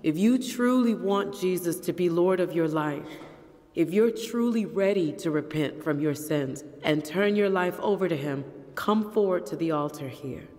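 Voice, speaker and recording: An actress playing an earnest, troubled middle-aged pastor's wife in a confessional manner for an audio drama. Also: female voice, on the low side, normal speed, mellow, no room sound, close to an everyday voice. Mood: earnest, resolved.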